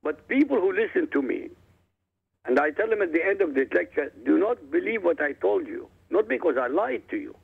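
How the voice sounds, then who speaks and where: A man's voice: high-pitched, big pitch swings, brisk, creaky, breathy edge. A man whose first language is Arabic, a guest author speaking emphatically and combatively in a radio interview. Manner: emphatic, combative, addresses listeners directly. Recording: tinny phone line.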